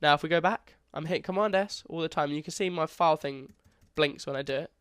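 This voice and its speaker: A man's voice: on the high side, quick pace, a bit bright, clear, resonant tone, no room sound, clean studio sound. A young man, congested, casually talking through a coding tutorial and correcting himself as he goes. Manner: casual, chatty, self-correcting. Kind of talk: screen-recorded coding tutorial.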